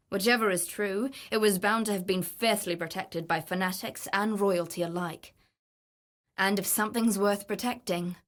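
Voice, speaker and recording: A woman's voice: mid-range voice, close to an everyday voice. An actress playing an adventurous, greedy woman treasure hunter in a video game, confident, wry and theatrical. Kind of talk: video game character voice lines.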